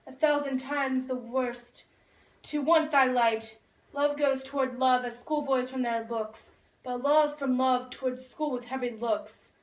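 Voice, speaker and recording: A woman's voice: sits high, fairly even intonation, a little slow. A woman playing an ardent, lovesick young nobleman in a puppet show, speaking romantic Shakespearean verse theatrically. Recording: roomy echo, distant microphone.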